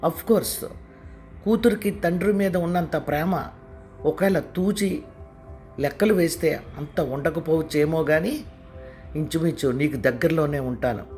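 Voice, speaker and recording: A man's voice: on the high side, a little slow, long pauses, darkish tone, faint music behind, voice pushed up, lightly acted. A man doing a hurt, pleading young husband. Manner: earnest, hurt.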